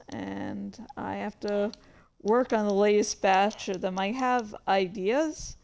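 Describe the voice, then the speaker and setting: A woman's voice: medium pitch, darkish tone, smooth. A woman streamer chatting casually, rambling along with distracted asides. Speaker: streamer and indie author. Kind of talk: casual livestream chat.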